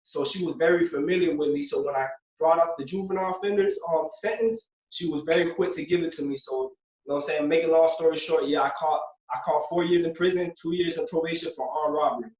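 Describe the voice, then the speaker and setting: Fast-talking streetwise young man, roomy and distant. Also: fairly high pitch, a little mellow. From Puerto Rico. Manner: streetwise, loose, fills with "you know what I'm saying". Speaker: guest, former prisoner. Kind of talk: casual interview storytelling. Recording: roomy, distant, dull lo-fi sound.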